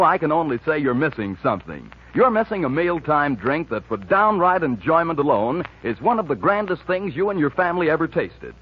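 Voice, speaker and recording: A man with an American accent announcing and pitching in polished, persuasive 1940s radio style. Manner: polished, persuasive, smooth phrasing. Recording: muffled vintage 1940s radio broadcast.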